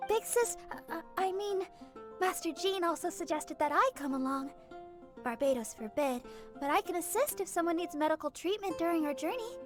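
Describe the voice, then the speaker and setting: A sweet teenage girl healer from an anime game. Her voice is very high and gentle, with a polite, slightly bashful, eager-to-help manner. Faint music sits underneath. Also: expressive, normal speed. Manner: gentle, deferential, helpful.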